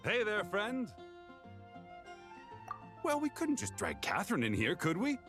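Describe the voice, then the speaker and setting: A man's voice: sits high, huge pitch swings, a little slow, a bit bright, heavily acted. A man voicing a friendly, easygoing adventurer in a video game, chatty and folksy. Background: music.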